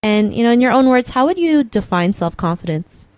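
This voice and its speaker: A woman's voice: on the high side, very animated, a little fast, darkish tone. A woman interviewing a guest in a casual, chatty way, full of fillers and upbeat affirmations.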